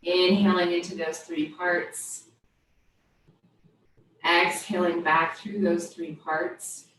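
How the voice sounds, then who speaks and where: A woman teaching yoga, guiding a body scan with gentle, invitational step-by-step cues. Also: on the low side, little pitch movement, slow pace. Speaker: yoga instructor. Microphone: distant microphone. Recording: very echoey room, distant mic, faint noise.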